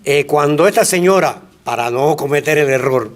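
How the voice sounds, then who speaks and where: Older moderator, halting speech. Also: male voice, a little high. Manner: hesitant, many fillers. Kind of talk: moderating, telling an anecdote.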